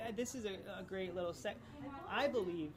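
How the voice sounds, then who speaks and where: A woman's voice: mid-range voice, brisk. A woman hosting a casual on-site interview, speaking in an enthusiastic, effusive and informal way. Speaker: event interviewer. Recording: busy crowd chatter behind the voice. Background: event crowd chatter.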